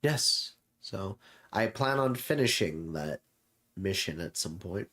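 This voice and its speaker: A man chatting casually and reflectively as a streamer, in a halting way with drawn-out pauses. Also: deep voice, lively intonation, fairly bright tone, a little hiss or hum. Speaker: streamer host.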